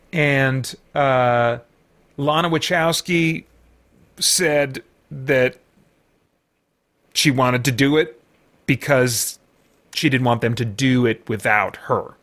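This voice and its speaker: A man giving an unscripted movie review as a host, speaking emphatically in a rambling, halting way with repeated false starts. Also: mid-range voice, fairly bright tone. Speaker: podcast host and film reviewer.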